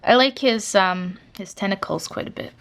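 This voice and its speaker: A woman's voice: medium pitch, normal speed, fairly bright tone, slight background noise. A young woman from Canada chatting casually through a sketchbook flip-through, rambling with lots of fillers. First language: English. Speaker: artist showing her sketchbook.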